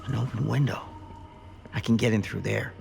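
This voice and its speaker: An actor playing a calm, dogged American small-town lawman in a video game, speaking in a measured, dryly skeptical way. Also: lowish voice, gravelly, noisy background. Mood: quiet, focused. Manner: measured, dry, probing. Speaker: investigating lawman. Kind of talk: cinematic video game acting.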